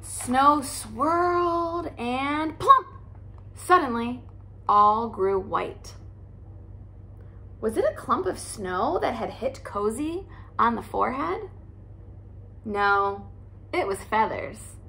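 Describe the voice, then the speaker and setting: Slow gravelly woman reading a storybook. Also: fairly high pitch, expressive, a little hiss or hum. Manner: soft, cozy storytelling. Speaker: teacher reading aloud. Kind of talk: picture-book read-aloud. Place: living room by a fireplace.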